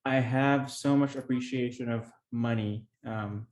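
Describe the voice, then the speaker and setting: A man's voice: medium pitch, fairly even intonation, measured pace, darkish tone. Earnest Filipino man, echoey room. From Philippines. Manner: earnest, many restarts and fillers. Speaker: podcast guest, real estate investor. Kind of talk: conversational interview storytelling.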